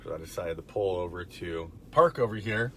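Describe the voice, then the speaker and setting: A man in middle age, his low voice raspy and gravelly from a recent illness, speaks in a relaxed, rambling way with fillers. He is recorded in a parked car, with a faint background hum. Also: moderate pace. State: hoarse from being under the weather. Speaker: recovery vlogger. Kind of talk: casual faith talk to camera.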